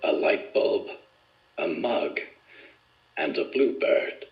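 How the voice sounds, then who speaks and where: An actor playing a formal, earnest male research subject in an audio drama, with measured, deliberate wording. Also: lowish voice, animated, gravelly, heavily acted. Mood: dreamy, recollecting. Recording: echoey room, muffled, music behind.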